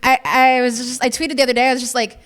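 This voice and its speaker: A woman's voice: high-pitched, little pitch movement, fast talker, a bit bright. A woman talking casually on a panel, chatty and wry, with lots of "like". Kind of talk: casual opinionated talk.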